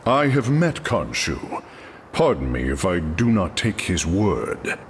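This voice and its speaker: A man's voice: low-pitched, big pitch swings, takes it slowly, a little hiss or hum, full character voice. A man playing a proud, noble warrior god of thunder in a video game, with stately, archaic, declamatory delivery. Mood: solemn, proud. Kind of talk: theatrical video game voice acting.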